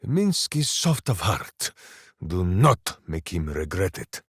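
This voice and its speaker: An actor voicing a big, simple, good-hearted berserker hero in a fantasy video game, bombastic and earnest. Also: male voice, low-pitched, very animated, slow pace, breathy edge, no echo, clean studio sound, full character voice. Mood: earnest, boastful. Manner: bombastic, earnest, talks of himself in third person.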